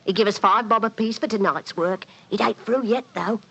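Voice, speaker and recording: A woman acting a rough, chatty working-class London woman with a Cockney accent in a 1940s radio drama. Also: mid-range voice, brisk, fairly bright tone. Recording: dull, noisy 1940s radio recording.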